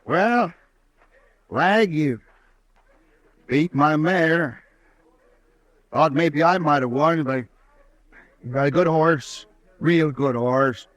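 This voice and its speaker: An actor playing a sour rancher in a 1950s radio drama, slow and grudging. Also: male voice, no room sound, poor audio. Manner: slow, grudging, low-key. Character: gruff rancher who lost a bet, sour.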